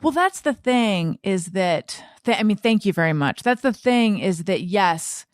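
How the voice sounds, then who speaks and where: A woman hosting a podcast, talking casually and haltingly as she thinks aloud and repeats words. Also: medium pitch, lively intonation, no echo, studio quality. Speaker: podcast host and interviewer. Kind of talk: casual conversational podcast talk.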